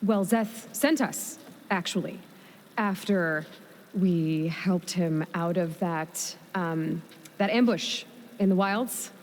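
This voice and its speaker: A wry young machine hunter in a game, modest, kinda low voice, conversational. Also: female voice, takes it slowly, a little hiss or hum. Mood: evasive, modest. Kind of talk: video game voice acting.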